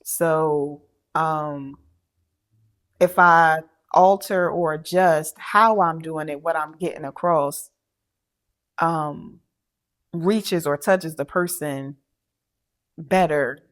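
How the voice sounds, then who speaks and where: A middle-aged woman co-hosting a podcast in casual chat, talking haltingly with many fillers and restarts. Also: low voice. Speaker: podcast co-host.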